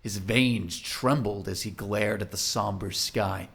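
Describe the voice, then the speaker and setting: A man with a fairly low voice narrates a dramatic audio story. He reads scripted prose as the storyteller, with faint ambient noise behind him. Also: steady tone, takes it slowly, creaky, a bit bright.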